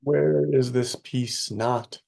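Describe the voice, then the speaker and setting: Slow, gravelly man guiding meditation. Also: mid-range voice, steady tone, a little mellow. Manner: soothing, unhurried, short phrases with long pauses. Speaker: meditation teacher. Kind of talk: guided meditation.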